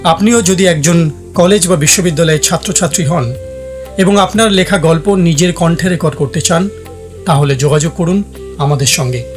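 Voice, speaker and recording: A man with a fairly high voice reads a scripted programme announcement. Soft guitar music plays behind him. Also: moderate pace.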